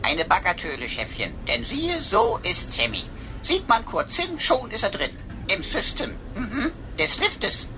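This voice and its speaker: A woman's voice: mid-range voice, huge pitch swings, unhurried, background noise. A woman playing a chatty, sardonic computer sidekick in a radio play, talking in florid mock-archaic phrases peppered with foreign words. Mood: smug.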